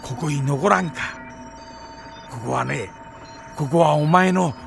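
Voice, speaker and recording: An actor playing a kindly, worried old scientist in an audio drama, speaking in a gentle, pleading, fatherly way. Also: a little high, slow pace, vocal fry, over music. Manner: gentle, pleading, elderly speech. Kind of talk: Japanese audio drama cartoon acting.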